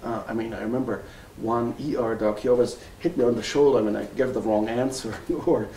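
A German-accented man in his forties speaks earnestly, pausing on ums and correcting himself as he goes. His voice carries a gravelly edge. It sounds roomy and a bit far from the mic, with a faint hiss underneath. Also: medium pitch, steady tone, warm tone. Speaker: family physician. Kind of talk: interview storytelling. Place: wood-paneled room indoors.

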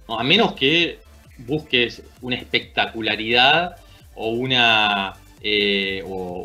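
A man's voice: lowish voice, bright, forceful tone. Thoughtful Argentine man talking basketball. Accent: Argentine Spanish. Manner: thoughtful, tag questions. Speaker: basketball analyst. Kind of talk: casual sports discussion. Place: small room at home. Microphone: video call.

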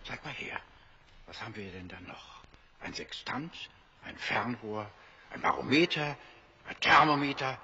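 An actor playing a sober, thoughtful leader of island castaways in an audio drama, speaking deliberately and with authority. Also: male voice, medium pitch, expressive, muffled recording, noisy background. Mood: curious, amazed.

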